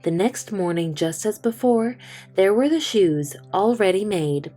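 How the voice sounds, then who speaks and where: A woman reading a children's storybook aloud, slow, gentle and expressive. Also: mid-range voice, soft background music. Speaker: children's book reader. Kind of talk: storytime read-aloud narration.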